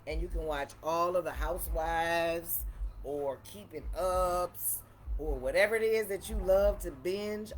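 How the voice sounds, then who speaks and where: Deep-voiced sassy woman vlogger. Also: slight background noise. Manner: sassy, playful, emphatic with repeated catchphrases. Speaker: vlogger reviewing wine. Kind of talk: casual wine tasting vlog.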